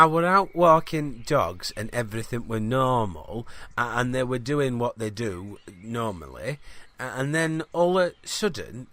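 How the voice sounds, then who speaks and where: Casting: male actor for teenage farm boy, earnest, colloquial, halting rambler in comic audio fiction. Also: mid-range voice, fairly bright tone. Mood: hesitant, puzzled. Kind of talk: scripted comedy audio fiction.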